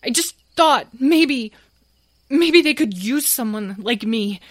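Woman doing a high earnest stable boy. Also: lively intonation, moderate pace, darkish tone, no echo, clean studio sound, lightly acted. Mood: despairing. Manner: tearful, stammering. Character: earnest young stable boy, kind-hearted and self-doubting. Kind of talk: storybook character voice.

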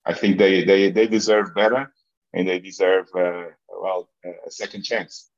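A middle-aged man with a Portuguese accent, recalling things in an interview in halting English, repeating words as he searches for phrasing. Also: sits low, flat delivery. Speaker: interview guest, football business executive. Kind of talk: conversational interview answers, personal recollection. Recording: echoey room, dull lo-fi sound.

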